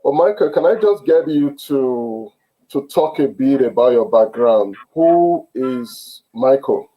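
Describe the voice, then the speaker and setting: A man hosting an online interview over a video call, speaking haltingly with many fillers and restarts. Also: medium pitch, animated, warm tone, poor audio. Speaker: host.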